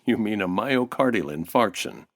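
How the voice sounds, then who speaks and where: Guy as radio comedy office boss, dry straight man, close dry sound, lively inflection. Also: lowish voice, normal speed, dry recording, natural voice. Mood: businesslike. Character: unflappable male boss of a small office, dry-witted. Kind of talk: old-time radio detective comedy acting.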